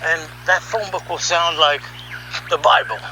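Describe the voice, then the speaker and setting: A man with a fairly high-pitched voice tells a story in a conversational podcast style. He is wry and musing, leaning on key words and pausing for drama. There is clear noise behind his voice. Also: fairly even intonation. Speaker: storyteller and voice session director.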